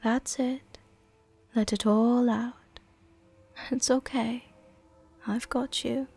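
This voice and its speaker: A woman playing a worldly, softly dominant vampire countess in an intimate roleplay ASMR, with a soft, gentle, tenderly commanding way of speaking. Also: on the high side, fairly even intonation, slow, creaky, breathy edge, soft background music, full character voice. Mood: tender, comforting.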